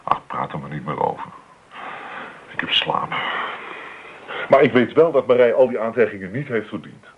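An actor playing an earnest, self-doubting young farmer's son in a Dutch radio play. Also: male voice, fairly low pitch, sing-song, normal speed, long pauses, breathy edge. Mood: earnest, troubled. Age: young adult. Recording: tinny, noisy old radio play recording.

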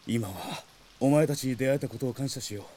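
A man's voice: mid-range voice, fairly quick, mellow, lightly acted. A man playing a brooding young mercenary swordsman in an anime audio drama, intense and bitter in manner. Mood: subdued gratitude.

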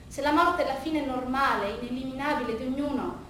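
A woman's voice: high voice, fairly bright tone. Woman playing a weary veteran psychiatrist, echoey and distant. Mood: bitter, questioning. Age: older. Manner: measured, deliberate, rhetorical repetition. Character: veteran psychiatrist of thirty-five years, weary, disillusioned, reflective. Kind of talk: theatrical monologue. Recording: roomy, distant stage recording, faint noise.

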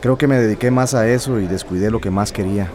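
An actor playing a wistful, evasive teacher in a radio drama, speaking in a hesitant, conversational way. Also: male voice, lowish voice, fast, a crowd in the background, lightly acted. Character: wistful, evasive male teacher and former musician.

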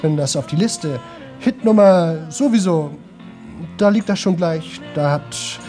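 An actor playing a young man in a radio play, exhausted and desperate, with a sore, rough throat, talking on in halting, repeated fragments. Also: on the high side, warm tone, faint music behind, close to an everyday voice. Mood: rambling, muddled. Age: young adult. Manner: halting, repetitive, broken fragments. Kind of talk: 1970s German radio play monologue.

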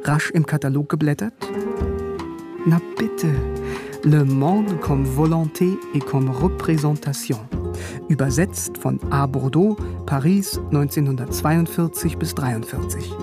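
Radio drama lead: bookish, cheeky East German student, young, lighter-pitched, wry first-person delivery over music. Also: male voice, unhurried. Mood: wry recollection.

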